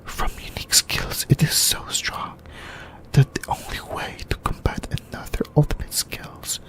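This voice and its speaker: An ASMR host delivering an explainer in a breathy, unvoiced whisper, with halting, deliberate phrasing in short bursts. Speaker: host of an anime discussion video.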